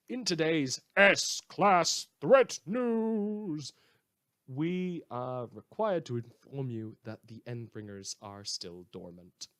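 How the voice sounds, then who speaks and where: A man's voice: mid-range voice, fairly bright tone, lightly acted. A man playing a sardonic, theatrical radio news host in a dystopia, delivering satirical scripted bulletins with emphatic mock cheer. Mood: mock reassurance.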